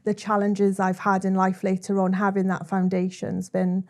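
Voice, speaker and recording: A woman's voice: mid-range voice, completely flat delivery, moderate pace, darkish tone. Chatty Welsh woman telling her story. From South Wales. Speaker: guest, content creator. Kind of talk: conversational storytelling.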